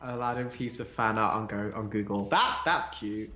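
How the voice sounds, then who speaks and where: A man's voice: fairly low pitch, moderate pace, sharp tone, muffled recording, soft background music. A man chatting casually about fandom, opinionated and emphatic, with some salty language. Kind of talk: casual fan discussion. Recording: roomy, echoey sound.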